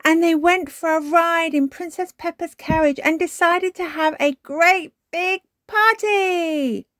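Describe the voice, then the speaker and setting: A woman's voice: high voice, lively intonation, takes it slowly, mellow, no echo, pitched above the performer's own voice. A woman voicing an eager, insistent little girl pig in a children's storybook, with a bouncy, exclaiming way of talking. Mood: eager, excited.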